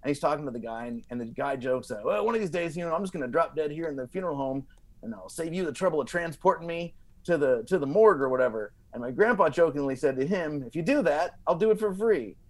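A man telling comedic stories as a podcast guest, casual and animated, acting out quotes as he goes. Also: on the high side, quick pace. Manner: animated, acts out quotes with frequent like and you know.

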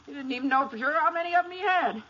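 A woman's voice: high-pitched, animated, rapid-fire, sharp tone. An actress playing a folksy, rambling rural Texas farm woman with a Texan accent in an old-time radio western. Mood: chatty, matter-of-fact. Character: plain-spoken rural Texas farm woman wrangling a mule. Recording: noisy lo-fi old radio recording.

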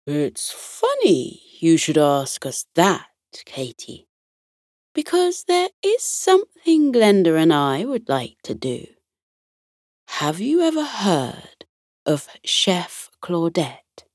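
A slow, calm, courteous bear captain from a bedtime storybook, played by a woman, deep and soothing, with swaying pitch. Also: no room sound, clean studio sound, heavily acted. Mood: inviting, unhurried. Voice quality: deep, calming. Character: kindly, calm male teddy bear sea captain.

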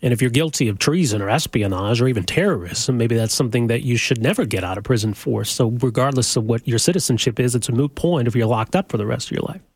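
A man hosting a call-in talk radio show, questioning callers in a quick, conversational way. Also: mid-range voice, breathy edge, no echo.

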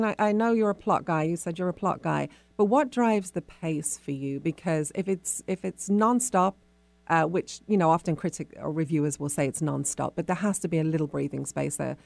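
A woman interviews in an informal, conversational radio style. Her voice is on the low side with a noticeable gravelly creak. She sounds close and dry, and shapes her questions in halting phrases. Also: dry recording.

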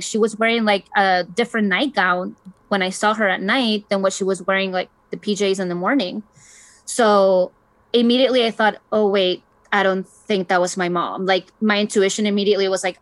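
A woman's voice: mid-range voice, a bit bright, no echo. Chatty woman in her thirties, dry sound. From Chile. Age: 30s. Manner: chatty, many fillers like "like". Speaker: guest. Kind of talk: casual storytelling.

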